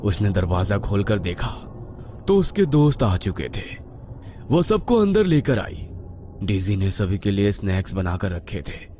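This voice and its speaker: A man's voice: lowish voice, very animated, measured pace, mellow, slight background noise. A man narrating a scripted horror story in a suspenseful, dramatic manner.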